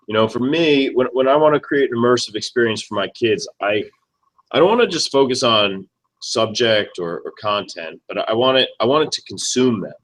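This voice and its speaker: A male teacher joins a video call from his classroom. His voice is mid-pitched, and he talks in a casual, eager way. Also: fairly bright tone, rough recording. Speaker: fifth grade teacher, co-host.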